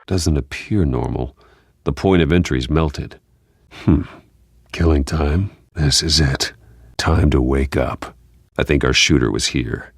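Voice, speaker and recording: Casting: grim masked game vigilante, extremely low husky growl, terse, menacing, slow and controlled. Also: male voice, dry recording, clean studio sound, exaggerated voice. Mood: brooding. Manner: terse, brooding, deliberate. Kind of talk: video game voice acting, terse investigative lines.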